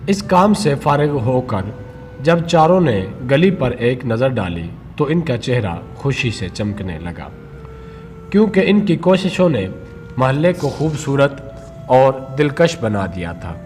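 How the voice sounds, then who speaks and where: A man reading a scripted moral story for children as a storyteller, in a measured read-aloud manner. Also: mid-range voice, very animated, darkish tone, slight background noise.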